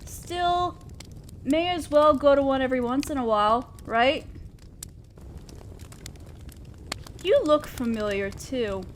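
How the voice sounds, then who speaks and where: A woman's voice: high voice, measured pace, slight background noise. A woman playing a shy, awkward, kind young neighbor in an intimate roleplay audio drama, speaking hesitantly and apt to ramble self-consciously. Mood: hesitant, casual. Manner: hesitant, soft-spoken, rambling, self-deprecating. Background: outdoor fire ambience.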